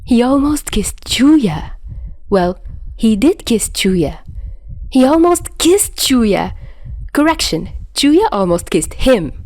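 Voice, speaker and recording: Slow-paced woman narrating fiction. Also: a little high, sing-song, a little mellow, dry recording, clean studio sound. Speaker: podfic narrator.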